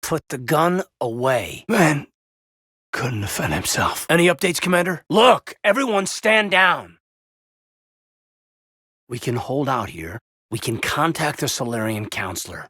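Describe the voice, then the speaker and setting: A loyal, wounded soldier in a cinematic game, speaking in a husky mid-range voice. His delivery is sincere and measured, with hesitant pauses and expressive swings in pitch. The sound is close and dry. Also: no echo, exaggerated voice. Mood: tense, commanding. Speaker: video game character, military officer. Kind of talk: cinematic video game dialogue acting.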